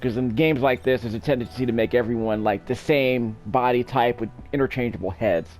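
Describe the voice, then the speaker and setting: A man's voice: mid-range voice, fairly quick, a little mellow, soft background music. A man giving casual, offhand game commentary, wryly thinking aloud as he plays. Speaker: let's play commentator.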